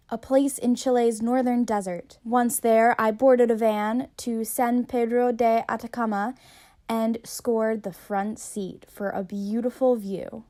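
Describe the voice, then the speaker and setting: A woman's voice: a little high, darkish tone. A young woman narrating her travel vlog in a wry, self-deprecating voice-over. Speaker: travel vlogger.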